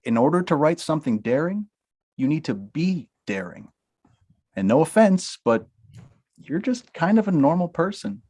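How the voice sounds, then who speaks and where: A man's voice: on the high side, very animated, normal speed, warm tone. A man reading the part of a self-pitying talent manager at a table read, blunt at first and then pleading with nervous laughs.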